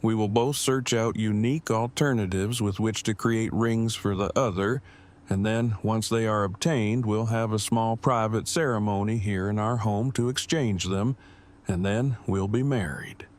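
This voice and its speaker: A man's voice: lowish voice, fairly even intonation, measured pace, darkish tone, close to an everyday voice. A man playing a pedantic, earnest survivor in an audio drama, speaking in stiff, precise, formal phrases.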